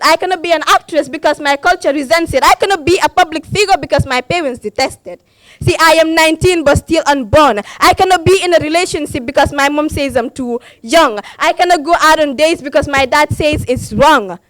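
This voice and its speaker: Young woman, spoken word performer, high-pitched, dry recording, impassioned refrains. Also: a little fast, fairly bright tone, no room sound, poor audio. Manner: impassioned, with a repeated refrain and rising emphasis. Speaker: slam poet.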